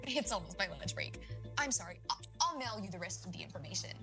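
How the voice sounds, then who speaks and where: A woman's voice: medium pitch, sing-song, fast, music in the background. A woman playing a falsely sympathetic city bureaucrat in a comedic audio drama, breezy, officious and insincerely polite. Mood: breezy, dismissive.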